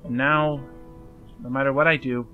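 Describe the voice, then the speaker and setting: A man's voice: mid-range voice, level tone, moderate pace, phone-quality sound, soft background music, close to an everyday voice. A man playing an anxious, self-blaming young man in a fan dub drama, speaking earnestly and hesitantly. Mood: ashamed, confessing.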